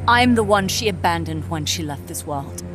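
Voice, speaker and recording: Female scientist, played by an actress, game drama: haunted, sardonic, accusing, on the lower side, music underneath. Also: expressive, moderate pace, close to an everyday voice. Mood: bitter, mournful. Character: bitter, obsessive female scientist haunted by lost love. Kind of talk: dramatic video game cutscene acting.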